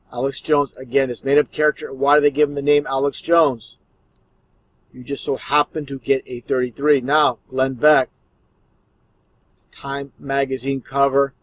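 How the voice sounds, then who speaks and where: A man's voice: medium pitch, no echo, low-quality recording. A man giving conspiracy commentary over video clips as the host, explaining in an insistent, repetitive way.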